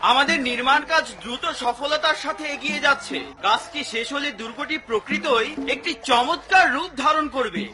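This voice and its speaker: A proud fortress builder in an animated series reports his work with eager boasting. His voice is very high and sharply bright, heard slightly distant from the mic. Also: normal speed, few pauses, exaggerated voice. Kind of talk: cartoon dubbing.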